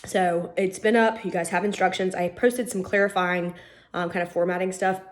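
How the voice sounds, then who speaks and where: A woman's voice: on the low side, fairly even intonation, moderate pace. A woman lecturer with a cold and a sniffly voice, giving a recorded online class in a chatty, informal way with many "um"s. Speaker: college lecturer.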